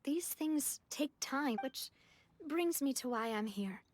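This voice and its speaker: A woman's voice: high voice, animated, slow, a little hiss or hum. A woman voicing a proud, considerate, assertive young leader in a video game, poised in delivery. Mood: frank, consoling. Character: confident, brisk young woman boss, proud yet considerate. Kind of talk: video game character acting.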